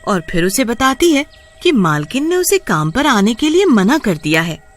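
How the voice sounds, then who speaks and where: A woman's voice: on the high side, sing-song, moderate pace, soft background music. A woman storyteller narrating a scripted tale.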